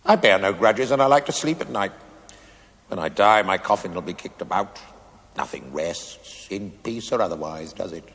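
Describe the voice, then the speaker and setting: An actor playing a wry, fatalistic stonemason in a television play, philosophising in a declamatory way. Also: male voice, low voice, animated, moderate pace, fairly bright tone. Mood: wry resignation. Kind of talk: television stage-play acting. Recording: echoey hall with long reverb.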